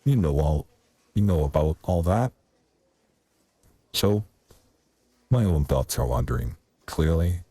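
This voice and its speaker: A man voicing a wistful, rambling theatre man in a video game, with a theatrical, deliberate and wordy manner. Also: rumbling low, animated, warm tone, slightly rough, dry recording, full character voice. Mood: hesitant, formal. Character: Carrington, wistful rambling male theatre man pleading his case.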